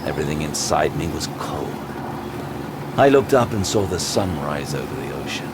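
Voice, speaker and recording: An actor playing a haunted, wounded detective, narrating a grim horror audio drama with grave intensity. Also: male voice, very deep voice, animated, normal speed, heavy background noise. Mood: grieving, exhausted. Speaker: narrator and lead character. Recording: loud music bed throughout.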